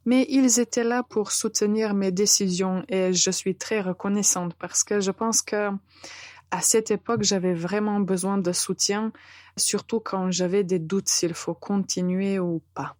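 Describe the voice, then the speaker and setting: A woman in her thirties with a Serbian accent talks through a podcast lesson in a calm, deliberate way and pauses often to hesitate. She speaks very slowly into a close microphone in a dry room with no echo. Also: mid-range voice. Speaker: French teacher and podcast host.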